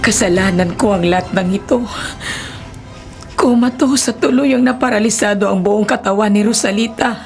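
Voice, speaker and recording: An actress playing an anxious, devoted older nanny in a radio horror drama, speaking in an earnest, emotional, melodramatic way. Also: female voice, mid-range voice, normal speed, gravelly, faint music behind, close to an everyday voice. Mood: guilty, grave.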